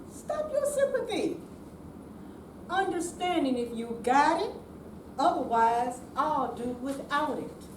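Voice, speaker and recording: An older woman reads dramatically on stage with great deliberation. Her voice is high and extremely slow. It comes from off-mic in an echoing room. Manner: slow, deliberate, theatrical delivery. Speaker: amateur readers theater performer. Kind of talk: dramatic poetry and script reading on stage. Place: senior center room, live reading. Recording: echoey room, distant mic, clear noise.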